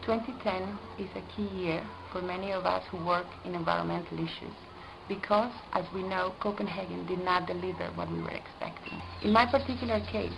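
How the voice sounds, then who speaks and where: A woman from Costa Rica, an environmental specialist, speaking in an earnest, deliberate way and stressing key words. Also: on the low side, steady tone, creaky, background noise. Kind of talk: prepared video statement.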